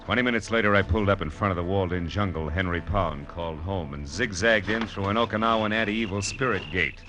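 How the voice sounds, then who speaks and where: A man's voice: low voice, pushed and bright. A man playing a wry, world-weary American private detective in clipped 1940s radio noir style, on a vintage broadcast. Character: wry, hard-boiled Los Angeles private detective, an adult man. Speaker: lead actor and narrator.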